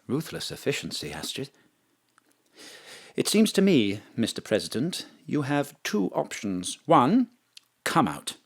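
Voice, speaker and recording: A man playing a cool, smooth political adviser with a dry, flirtatious manner in an audio drama. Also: medium pitch, wildly swooping pitch, measured pace, full character voice.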